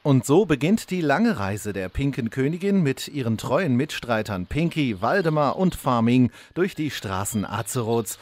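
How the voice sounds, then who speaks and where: A man's voice: mid-range voice, sing-song, normal speed, hardly any pauses, a little mellow. A man giving theatrical scripted narration as the narrator of a parody audio drama.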